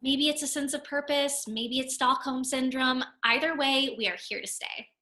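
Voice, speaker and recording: A sweet, excitable young woman with a bright, fairly high voice and a tender heart. A woman acts her out in a comedy read-through. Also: moderate pace. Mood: tender, determined. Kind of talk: table read of a comedy script.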